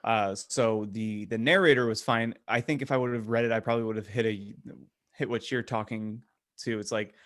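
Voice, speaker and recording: A man co-hosting a podcast, giving opinions in casual, blunt and somewhat halting conversational speech. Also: on the low side, fairly even intonation, a bit bright, no echo, clean studio sound. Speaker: podcast co-host.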